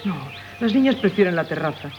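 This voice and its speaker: An actress with a Castilian accent playing a restless, dreamy, frank doctor's wife who loves the sea, in a 1970s Spanish radio drama. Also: female voice, fairly low pitch, animated, fairly quick, darkish tone, slight background noise, lightly acted. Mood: wistful, confiding. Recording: muffled 1970s radio broadcast.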